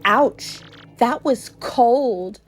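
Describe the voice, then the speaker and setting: A woman's voice: fairly high pitch, drawn-out words, slight background noise. A woman voicing a swaggering young male creature hoodlum from a video game, with cocky, slangy talk. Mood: sneering.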